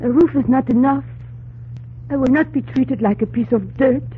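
A woman's voice: sits high, normal speed, natural voice. An actress playing a frightened but proud young runaway servant girl in an old-time radio drama, earnest and dramatic. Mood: indignant. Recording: narrow, noisy old radio recording.

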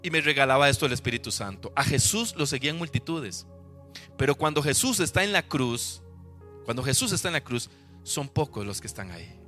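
A man's voice: mid-range voice, expressive, fairly quick, bright, forceful tone, faint music behind. A man with a Costa Rican accent preaching a sermon to his congregation, emphatic and full of rhetorical questions. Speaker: pastor.

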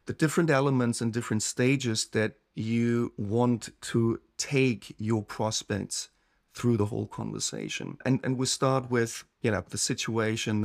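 Middle-aged male sales coach, gravelly voice, unhurried instructional monologue with frequent restarts. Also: lowish voice. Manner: unhurried, repeats words while thinking.

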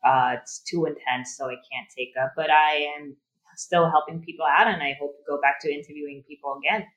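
A woman's voice: low-pitched, a little fast, fairly bright tone, rough recording. A woman from Thailand speaking as a podcast guest, chatty and friendly, often correcting herself mid-sentence. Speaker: guest, project manager. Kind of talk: casual interview.

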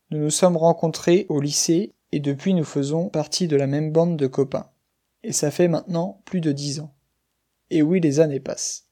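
Calm young Frenchman, mellow voice. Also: a little high. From western France. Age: 20s. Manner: slow, clear, careful reading. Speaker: guest speaker. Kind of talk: scripted narration for language learners.

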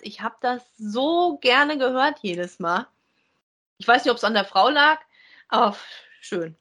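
A woman with a slightly high voice. She co-hosts a podcast in a casual, conversational style, with the effusive manner of an enthusiastic fan. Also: pushed and bright. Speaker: co-host of a podcast about radio plays.

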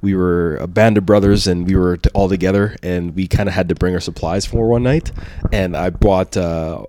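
A man in his thirties telling a casual story as a podcast guest, rambling with many fillers and trailing off quietly. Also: sits low, fairly even intonation, normal speed, no echo. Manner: rambling, lots of fillers, drops to a mutter.

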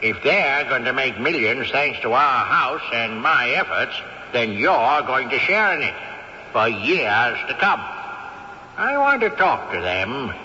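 A man's voice: mid-range voice, big pitch swings, unhurried, bright, forceful tone, big-room sound, heavily acted. An actor playing a cantankerous, haughty old male ghost who drives a hard bargain, in a radio drama. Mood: indignant. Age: older. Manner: haughty, indignant, grandly formal. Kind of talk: 1970s radio drama acting. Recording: dull old radio recording with faint hiss.

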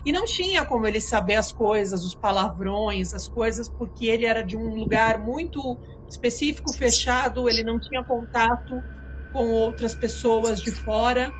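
A woman's voice: a little high, moderate pace, slight background noise, low-quality recording. A woman hosting a casual live chat with channel supporters, telling stories in a conversational way with frequent restarts. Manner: conversational, hesitant restarts, storytelling. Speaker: channel host.

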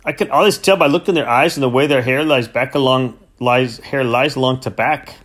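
A man voicing a proud, placid knacker, making slow, self-satisfied pronouncements. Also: medium pitch, a bit bright, natural voice.

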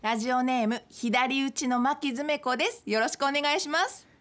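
A woman's voice: high-pitched, normal speed, a bit bright. A woman chatting casually as a guest, answering an interviewer's questions. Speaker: listener guest who acted in radio drama.

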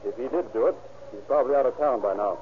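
Police captain for vintage radio crime drama, played by a man: brisk, businesslike, mid-pitched, heard through thin phone-like old recording. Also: slight background noise, close to an everyday voice. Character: brisk, businesslike male police captain leading a murder investigation. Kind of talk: 1930s radio acting.

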